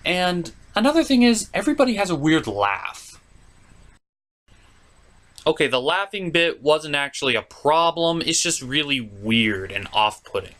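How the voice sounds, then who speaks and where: Male reviewer, fairly high voice, swooping animated pitch, emphatic and mock-exasperated, scripted commentary with wry asides. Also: unhurried. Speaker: reviewer hosting an anime review show.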